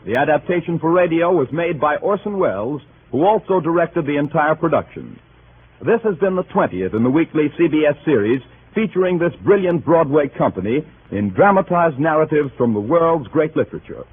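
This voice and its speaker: A man announces formally in 1930s broadcast style. His voice sits fairly high, and the recording is narrow and slightly noisy, like vintage radio. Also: lively intonation, fairly quick.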